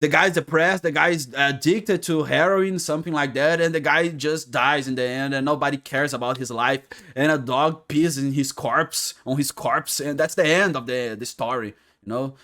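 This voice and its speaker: A man's voice: a little high, fairly even intonation, moderate pace, hardly any pauses, a bit bright. A man giving casual, sarcastic and joking reaction commentary as a host, with non-native phrasing.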